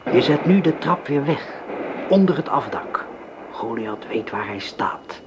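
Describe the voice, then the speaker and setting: An actor playing a cold male gang leader in a radio drama, who gives orders in a calm, precise way. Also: mid-range voice, a little slow, dull audio, background noise, natural voice.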